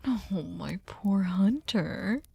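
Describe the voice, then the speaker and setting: Slow, dark-voiced seductive vampire woman, acted out by a woman. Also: medium pitch, lively intonation, gravelly, slightly rough, dry recording, lo-fi sound, full character voice. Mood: hushed, teasing. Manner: slow, teasing, mock-polite, often dropping to a whisper. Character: sadistic, seductive aristocratic female vampire, possessive and manipulative. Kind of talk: ASMR roleplay audio drama.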